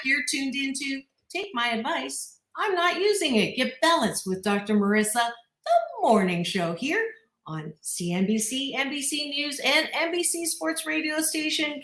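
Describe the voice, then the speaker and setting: A woman hosting a radio talk show, bubbly and loud, laughing often. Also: high-pitched, roomy sound. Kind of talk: energetic radio chat.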